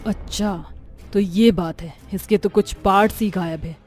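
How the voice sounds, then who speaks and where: A woman's voice: mid-range voice, fairly even intonation, a little slow, a little mellow, soft background music, close to an everyday voice. A woman voicing an earnest young village wife who thinks aloud in a storybook cartoon. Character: young village wife, engineer, later a witch.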